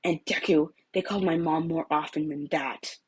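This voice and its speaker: Hot-headed, defiant teen boy for a storybook, husky and harsh female reader acting him. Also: low-pitched, steady tone, moderate pace. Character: surly, stubborn, hot-tempered teenage boy.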